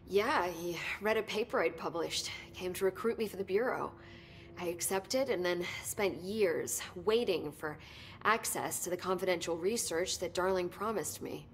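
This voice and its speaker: An actress playing an eager, nerdy research scientist in a video game, chatty, pedantic and easily sidetracked. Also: female voice, lowish voice, normal speed, slight background noise, natural voice. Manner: chatty, pedantic, rambling about details. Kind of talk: video game dialogue acting.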